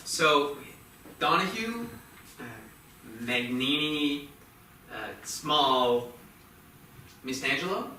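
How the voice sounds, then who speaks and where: Casting: man as reckless teen show-off, slangy and goading, bright forceful voice that swings about, theatre reading. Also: on the high side, animated, very slow, long pauses, exaggerated voice. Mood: cocky, casual. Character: brash reckless teenage boy, foul-mouthed show-off prankster. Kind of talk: staged play reading, casual slangy dialogue. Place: theatre stage before the mic at a distance. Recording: echoey theatre, distant mic, faint noise.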